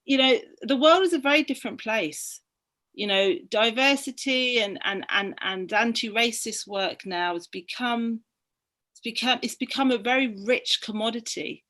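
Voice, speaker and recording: A woman's voice: on the high side, moderate pace, a bit bright. A middle-aged woman from Barbados, a guest in a conversational discussion, speaking earnestly and passionately while thinking aloud. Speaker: guest, book activist.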